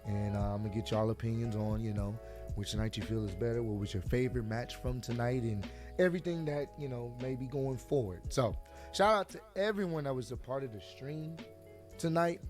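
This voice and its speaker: A man reviews a wrestling show in a loose, chatty way, calling out to his viewers. His voice is hoarse and a bit sore. He speaks at an ordinary middle pitch. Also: a little fast, a little mellow, soft background music. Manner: chatty, addresses viewers directly. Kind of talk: casual video review.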